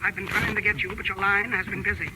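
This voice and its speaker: An actor playing a meek, precise, courteous chemist in an old-time radio drama. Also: male voice, sits high, little pitch movement, background noise, close to an everyday voice. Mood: grave, courteous. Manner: formal, polite, deliberate. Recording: old radio recording with music.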